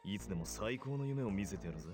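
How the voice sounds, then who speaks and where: A man playing a cocky, fast-talking nightclub charmer. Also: on the low side, animated, darkish tone, lightly acted. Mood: cocky, flirtatious. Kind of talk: Japanese visual novel game voice acting. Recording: game audio with music behind.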